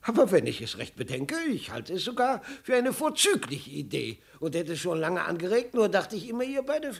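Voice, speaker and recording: An elderly, genial emperor for a vintage radio drama. His voice crackles and rasps, rising and dipping in very wide curves. He murmurs hesitantly, like a mild father. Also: sits high, very animated, fairly quick, heavily acted. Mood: mildly surprised. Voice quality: husky, creaky. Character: doddering, genial old emperor, father of a princess. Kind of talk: 1950s German radio play acting.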